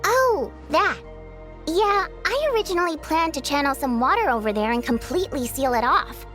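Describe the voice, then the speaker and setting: Fantasy game nymph, female: extremely high, lilting and animated, coy and sassy over faint music. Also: moderate pace. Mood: breezy, resigned. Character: teasing, playful female water nymph singer. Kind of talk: video game voice acting.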